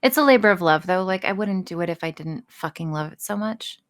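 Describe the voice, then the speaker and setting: A woman's voice: on the low side, lively intonation, a little fast. A woman voice actress chatting casually and candidly as an interview guest, frank, self-deprecating and free with swearing. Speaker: voice actress and audio creator being interviewed.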